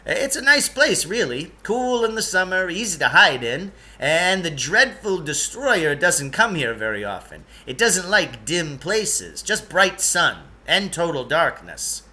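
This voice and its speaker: Guy doing a jumpy proud otherworld guide for a storybook, kinda fussy and touchy, high sharp voice. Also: very animated, measured pace, few pauses, full character voice. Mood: explaining. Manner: hesitant stammering, then emphatic and touchy.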